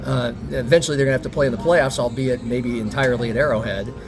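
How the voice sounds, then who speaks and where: A man's voice: medium pitch, steady tone, fast talker. Male sports podcast host, music behind. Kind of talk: casual sports chat, asking questions.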